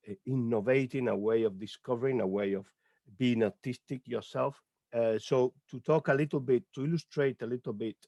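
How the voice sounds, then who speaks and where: A man's voice: on the low side, dark tone, dry recording. A man from Spain with a Spanish accent, a poet and educator speaking on an online panel in a hesitant way, with many filler sounds, over a video call. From Lorca, Murcia, Spain.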